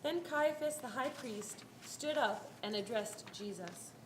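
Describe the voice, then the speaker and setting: A woman's voice: on the high side, animated, a little slow. A woman narrating a scripted dramatic reading of a Bible story. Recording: roomy echo, faint background noise.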